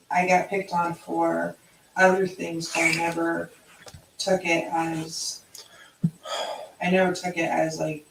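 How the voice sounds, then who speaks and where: A plain-spoken woman talking slowly in a flat, even voice. She is well off the mic in an echoey room. Loud noise sits under her speech. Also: on the low side, gravelly, recorded from a distance. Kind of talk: casual chat.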